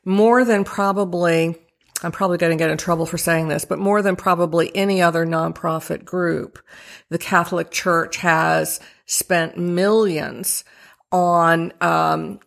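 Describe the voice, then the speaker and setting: Woman, 60s, author guest on radio; low, gravelly, hesitant. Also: a little slow. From Virginia. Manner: thoughtful, hesitant with many fillers. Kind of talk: radio interview.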